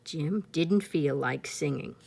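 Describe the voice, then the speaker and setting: A woman's voice: low-pitched, slow pace, vocal fry, darkish tone. A woman reading a children's storybook aloud expressively, with casual asides spoken directly to young listeners. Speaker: storybook reader.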